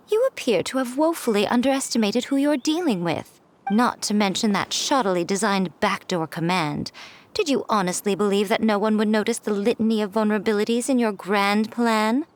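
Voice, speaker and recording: A woman's voice: fairly high pitch, moderate pace, natural voice. An actress voicing a sly, smug female priestess in a video game cutscene, composed and mocking.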